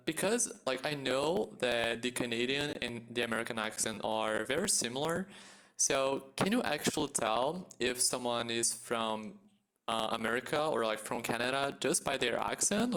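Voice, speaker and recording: A man's voice: mid-range voice, moderate pace, a bit bright. A man from Brazil hosting a casual English-practice conversation, an eager listener who keeps affirming and asks simple questions.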